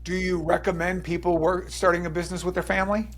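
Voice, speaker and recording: A man hosting an interview show, asking conversational, measured questions. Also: sits high, flat delivery, fairly bright tone. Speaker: podcast host, entrepreneurship teacher.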